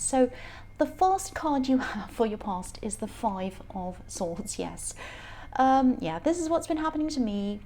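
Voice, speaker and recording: A woman with a croaky voice giving a casual, rambling tarot reading, often laughing as she talks. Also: a little high, a little mellow. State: croaky voice from stress. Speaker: tarot reader.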